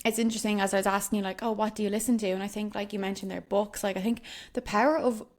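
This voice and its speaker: A woman's voice: mid-range voice, fairly even intonation, rapid-fire. A woman hosting a podcast, affirming and easygoing, often trailing with "like" and "um". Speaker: podcast host. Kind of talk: casual interview chat.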